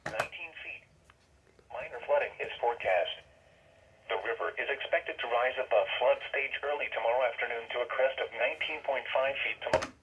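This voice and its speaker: A man's voice: mid-range voice, brisk, muffled recording. An American man reading a formal weather bulletin, heard through a weather radio speaker. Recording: noisy radio broadcast played through small speaker.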